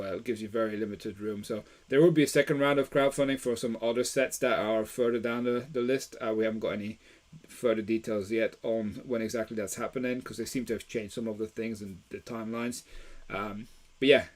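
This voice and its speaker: A man's voice: fairly low pitch, darkish tone. Belgian-born man hosting a chatty live stream. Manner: chatty, many fillers and restarts. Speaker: host of a toy deals live stream. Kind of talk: casual live stream talk.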